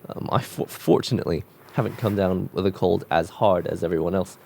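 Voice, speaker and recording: A man with a cold-croaked voice talking casually and reflectively while drawing, musing with loose restarts. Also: sits low, steady tone, brisk, a little mellow, a little hiss or hum. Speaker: art vlogger drawing on camera.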